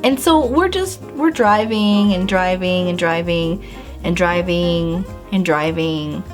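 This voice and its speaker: A young woman vlogger telling a story to the camera in a bubbly, animated way, filler-heavy and acting out her own reactions. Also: lowish voice, slow pace, faint music behind. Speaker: beauty and lifestyle vlogger. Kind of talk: casual storytime vlog.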